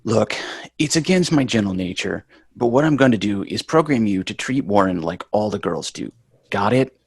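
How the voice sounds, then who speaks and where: A man's voice: fairly low pitch, expressive, normal speed, gravelly, slightly rough, no echo, exaggerated voice. Man playing a dry, exasperated schoolboy, closely miked. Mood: scheming. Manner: dry, sarcastic, bossy. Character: exasperated, wisecracking schoolboy big brother. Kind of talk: live sitcom table read.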